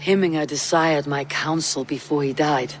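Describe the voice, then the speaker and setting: Trusted Norse warrior male actor, composed and formal, fairly high male register, video game drama. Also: moderate pace, soft background music, close to an everyday voice. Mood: warm, serious. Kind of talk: dramatic game cutscene acting.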